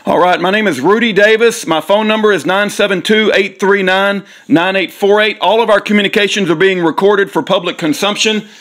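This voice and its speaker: High-pitched stern man. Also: steady tone, a little fast, hardly any pauses, a bit bright. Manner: stern, accusatory, emphatic. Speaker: caller leaving a voicemail. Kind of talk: formal complaint left by voicemail.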